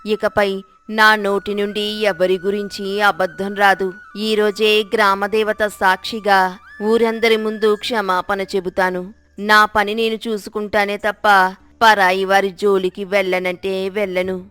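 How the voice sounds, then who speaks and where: A woman's voice: mid-range voice, little pitch movement, unhurried, fairly bright tone, clear, resonant tone, faint music behind, close to an everyday voice. A woman playing an older, wheedling village gossip in an acted moral story, with theatrical exclamations. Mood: pleading, remorseful. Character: sly village gossip older woman, dramatic, later contrite.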